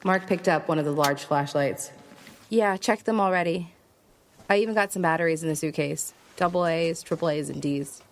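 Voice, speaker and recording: A woman's voice: sits low, normal speed. An actress playing a resourceful, stubborn woman survivor in an audio drama, narrating and speaking in a terse, matter-of-fact way. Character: resourceful, stubborn female survivor traveling west. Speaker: lead actor and first-person narrator. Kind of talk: audio drama acting with first-person narration.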